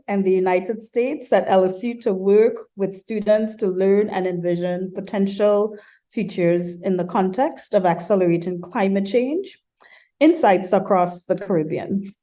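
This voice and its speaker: A woman from Trinidad and Tobago, an architecture professor giving a measured welcome talk at an online colloquium, with frequent ums and uhs, on a computer mic. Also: medium pitch, mellow.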